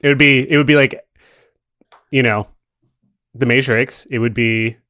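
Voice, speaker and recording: A man's voice: medium pitch, fairly even intonation, measured pace, sharp tone, dry recording, studio quality. A man co-hosting a podcast in casual chat, with a laid-back, joking manner. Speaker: podcast co-host.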